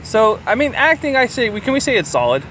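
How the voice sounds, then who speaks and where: A man co-hosting a podcast, chatting casually about films in an animated, sometimes crude way. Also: extremely high pitch, quick pace, slight background noise. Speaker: podcast co-host. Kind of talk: casual film review chat.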